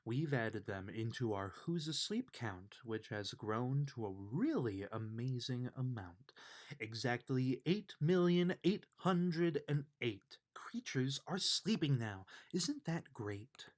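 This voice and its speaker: Bedtime storybook reader: male, medium pitch, leisurely, expressive delivery. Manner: expressive, unhurried read-aloud delivery. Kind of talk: bedtime children's story read aloud.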